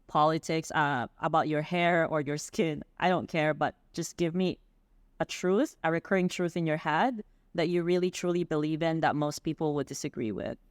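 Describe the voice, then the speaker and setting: A woman's voice: sits low, normal speed, no room sound, studio quality. A woman who runs her own business, guest on an interview podcast, explaining steadily and practically how she hires staff. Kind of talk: interview conversation.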